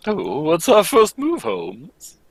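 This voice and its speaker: A man playing a fussy, eager, loyal gentleman sidekick in a radio mystery, polite, exclamatory and inquisitive. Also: fairly high pitch, wildly swooping pitch, slow pace, slightly rough, dry recording, exaggerated voice. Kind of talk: old-time radio mystery acting, read live.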